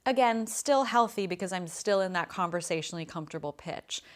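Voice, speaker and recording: A woman voice coach talks to camera in an easy, friendly lesson. Her voice sits a little on the low side, and she explains things plainly and thoughtfully. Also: lively intonation, fairly quick.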